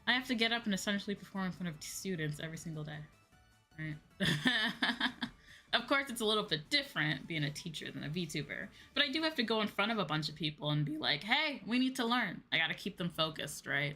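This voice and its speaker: A woman streamer chatting casually and answering viewers in an animated, conversational way, often repeating phrases for emphasis. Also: on the low side, brisk. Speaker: VTuber streamer; high school teacher. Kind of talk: casual livestream chat, replying to viewers. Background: background music.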